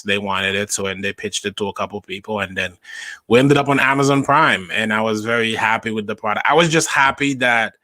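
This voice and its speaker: A young adult man from Haiti, a stand-up comedian telling his story in a casual interview, with animated emphasis. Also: lowish voice, dry recording.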